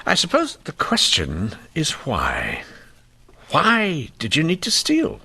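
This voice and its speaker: A dogged old-timey police inspector, radio play, formal n pointed, voice swings up and down a lot. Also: male voice, a little high, wildly swooping pitch, slow, vocal fry, sharp tone, husky, full character voice. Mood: wry, probing. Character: shrewd, dogged male police inspector in 1890s Canada. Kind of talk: old-time radio drama acting.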